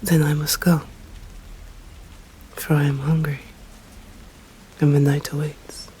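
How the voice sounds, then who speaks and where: A proud, hungry female vampire, played by a woman speaks with an English accent at a slow, deliberate pace. Her voice is dark and soft, formal and often whispered, in an intimate ASMR roleplay with faint background noise. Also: deep voice, level tone, rough recording. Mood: parting, intrigued. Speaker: voice actor. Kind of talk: ASMR roleplay, scripted dramatic monologue.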